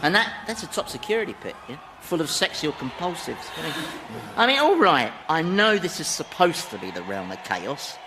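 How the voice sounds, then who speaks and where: Exasperated, sardonic British Satan, swooping intonation. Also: male voice, on the high side, sing-song, moderate pace, noisy background. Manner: grumbling, sarcastic, colloquial British swearing. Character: exasperated, sardonic Satan, weary ruler of hell. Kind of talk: comedy radio drama acting.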